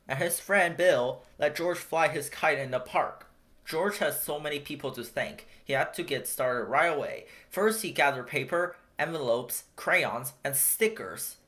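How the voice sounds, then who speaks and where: Animated children's storybook narrator. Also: mid-range voice. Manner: expressive read-aloud delivery. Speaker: storybook reader.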